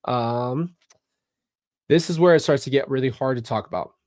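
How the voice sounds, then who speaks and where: A man giving a personal, somber commentary on a livestream, speaking haltingly and often repeating phrases. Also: mid-range voice, darkish tone, no room sound, clean studio sound. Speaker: documentary reaction host.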